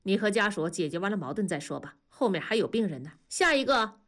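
A woman's voice: mid-range voice, sing-song, a bit bright. A woman voicing a curt, impatient hospital doctor who wants to move on to the next patient. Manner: curt, brisk.

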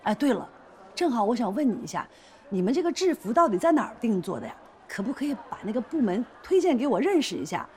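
An actress playing a pushy, flirtatious shop owner in a screen drama, insistent, teasing and quick to take offence. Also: female voice, on the high side, big pitch swings, a little mellow. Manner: insistent, teasing, indignant, theatrical. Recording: noticeable background noise and ambience.

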